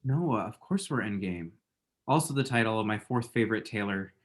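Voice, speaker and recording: A man's voice: on the low side, animated, normal speed, close to an everyday voice. Man playing an awkward, wry romantic lead. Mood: exasperated. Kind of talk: screenplay table read.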